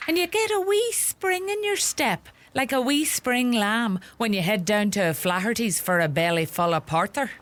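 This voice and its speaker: An actress playing a bossy, sharp-tongued rural mother with a Donegal Irish accent in a radio play. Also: fairly high pitch, normal speed, hardly any pauses, lightly acted. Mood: scolding. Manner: scolding, animated, gossipy.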